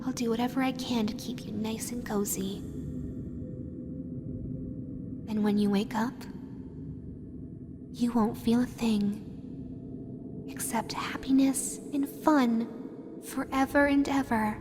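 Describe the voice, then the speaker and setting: A woman's voice: on the high side, fairly even intonation, measured pace, long pauses, noisy background, lightly acted. A woman voicing a lonely, possessive animatronic fox with a childlike, sweetly sinister manner, dreamy and lingering, in an audio roleplay. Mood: soothing, sinister. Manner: dreamy, lingering, repeats words softly. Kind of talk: scripted audio roleplay addressed to the listener. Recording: long hall-like reverb, music underneath. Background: background music.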